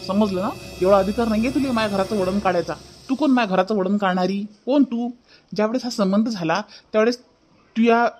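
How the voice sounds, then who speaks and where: Casting: high-voiced young village husband acted by a man, Varhadi Marathi accent, irate and scolding, for a cartoon comedy. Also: natural voice. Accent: Varhadi Marathi from Maharashtra. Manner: scolding, repeats his questions. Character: angry young husband defending his mother and home. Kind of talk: hobby cartoon comedy dialogue.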